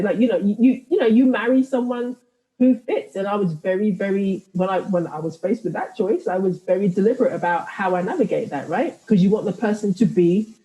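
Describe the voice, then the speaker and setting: A thoughtful woman speaks as a guest in a conversational interview, often circling back and restarting as she works through her ideas. Her voice is warm and mellow. It is recorded in a room with noticeable echo. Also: mid-range voice. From United Kingdom. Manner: reflective, thoughtful, many restarts. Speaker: guest, writer and podcaster.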